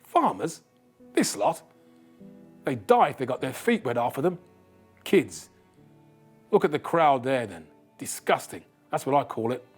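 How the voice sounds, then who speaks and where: A man's voice: medium pitch, sing-song, measured pace, long pauses, slightly rough, faint music behind. A man reading a novel and voicing a stuffy older British man who complains bluntly and indignantly. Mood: disgusted.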